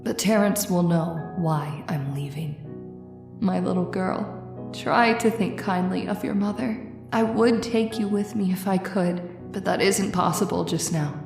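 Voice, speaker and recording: A woman playing a regretful, resolute mother voicing a farewell letter in an old-time radio drama, measured and formal. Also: lowish voice, roomy sound, far from the mic, over music.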